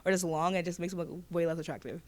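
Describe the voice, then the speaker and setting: A teenage girl sharing an opinion quickly, giggling as she talks. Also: on the low side, fairly even intonation. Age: teens. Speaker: student giving opinion.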